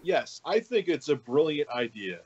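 A man's voice: on the high side, sing-song, normal speed, darkish tone, slightly rough. Man explaining casually, slightly dull audio. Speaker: podcast guest, online video maker.

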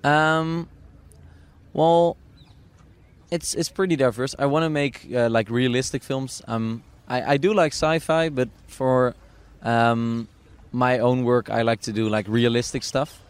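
A young man with a Dutch accent chats as a guest, his voice smooth and resonant, pitched in the middle for a man. He speaks English as a second language, hesitating with fillers and restarts and often laughing at his own remarks. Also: steady tone, measured pace. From Netherlands. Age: young adult. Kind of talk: casual interview chat.

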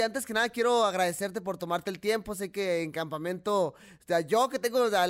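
A young man hosting a casual fight-sports talk show, animated and chatty, often restarting his sentences. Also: high-pitched. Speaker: host.

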